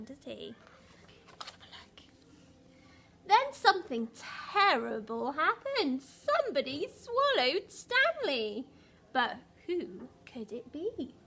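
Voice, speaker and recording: High-voiced woman reading to young children. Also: husky. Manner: animated, dramatic emphasis, asks the listeners questions. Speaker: primary school teacher. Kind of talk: expressive picture-book reading for young children.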